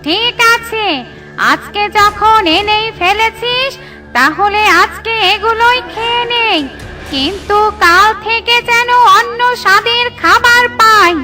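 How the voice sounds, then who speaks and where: Super high bossy witch for a kids cartoon, greedy and scolding, music blaring behind. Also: takes it slowly, full character voice. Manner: scolding, imperious, gloating. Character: greedy bossy wicked witch, female, fond of eating. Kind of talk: children's cartoon dubbing. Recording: loud children's music behind.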